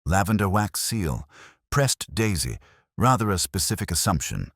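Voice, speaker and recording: A man voicing a shrewd, unassuming police inspector in a mystery story, speaking in measured, probing murmurs. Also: low-pitched, very animated, fairly bright tone, no room sound, studio quality, voice pushed up, exaggerated voice. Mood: quietly probing.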